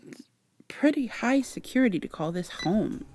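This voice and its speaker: A woman playing a guarded, sardonic human woman in a vampire ASMR roleplay, speaking close and breathy, with sarcastic, wary delivery that slips into whispers. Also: mid-range voice, animated, normal speed, a little mellow, a little hiss or hum, lightly acted. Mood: sarcastic, uneasy.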